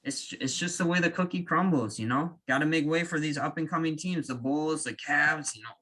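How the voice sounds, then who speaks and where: A young man co-hosting a casual sports podcast, talking in an animated, joking way with quick opinions. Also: fairly high pitch. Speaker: podcast co-host.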